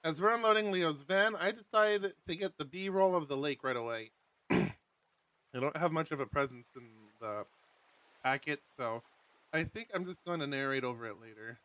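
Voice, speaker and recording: A man with a fairly high, bright and forceful voice, hosting a live game stream. He reads a visual novel's text aloud, breaks off into casual, self-deprecating asides, and sits close to a dry, dead-sounding streaming mic. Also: moderate pace, no echo, rough recording. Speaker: streamer host.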